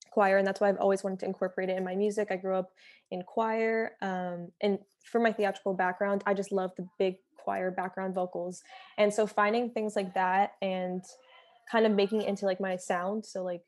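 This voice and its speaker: A woman's voice: medium pitch, darkish tone. Casting: young woman, singer guest, chatty and filler-heavy. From Philadelphia. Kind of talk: casual interview chat.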